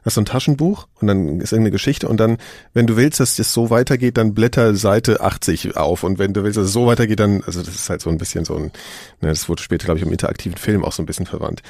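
A man's voice: fairly low pitch, quick pace, hardly any pauses, darkish tone, no room sound, studio quality. A man in a casual discussion, thinking aloud in an informal way.

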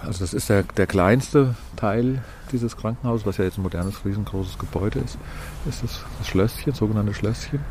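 A man's voice: on the low side, normal speed, few pauses. A middle-aged man from Hesse in Germany, acting as a local guide and explaining things in a casual storytelling way. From Lauterbach, Hesse, Germany. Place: outdoors on a walk through town. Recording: noisy outdoor recording, traffic ambience.

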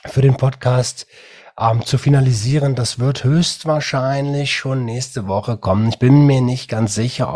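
A husky male podcast host who has a cold, chatting in an upbeat, lively way. His voice sits at a middle pitch. He talks casually and enthusiastically with a guest. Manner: upbeat, animated, says "geil" and "Alter".

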